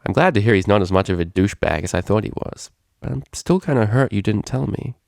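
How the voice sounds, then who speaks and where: A man's voice: fairly low pitch, expressive, quick pace, gravelly, a little mellow, no echo. Storybook role: informal, brash female unicorn DJ, slangy delivery, dry sound, male reader performing. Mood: relieved, softer. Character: brash, casual female unicorn DJ, quick to worry.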